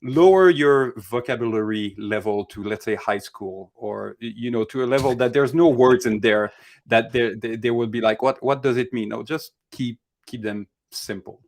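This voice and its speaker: A man's voice: mid-range voice, moderate pace. A man with a French Canadian accent, a native French speaker, co-hosting a casual live Q&A and hesitantly searching for words. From Montreal, French Canada. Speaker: Q&A co-host.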